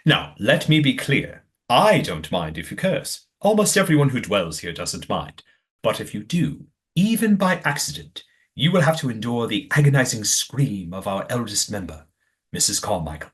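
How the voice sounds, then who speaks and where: A man acts out a courteous, formal master of a secretive manor for an audio play. His voice sits at a middling male pitch and swings expressively through measured, precise sentences. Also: sing-song, close to an everyday voice. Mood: formal, instructive.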